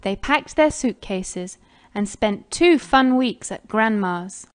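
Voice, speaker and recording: A woman's voice: mid-range voice, animated, slow. A woman with an American accent narrating a scripted children's cartoon story.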